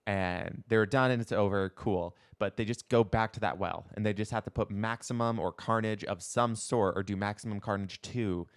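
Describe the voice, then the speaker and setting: Slightly low-voiced man chatting on a podcast. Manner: halting, conversational, peppered with "like". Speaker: podcast co-host. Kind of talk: casual opinionated chat.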